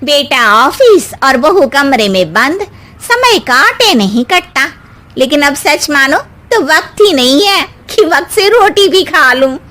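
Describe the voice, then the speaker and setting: Cartoon story: excitable older female friend, put on by a woman, very high, animated pitch. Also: slow pace, full character voice. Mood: weary, lively. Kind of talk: cartoon moral story voice acting.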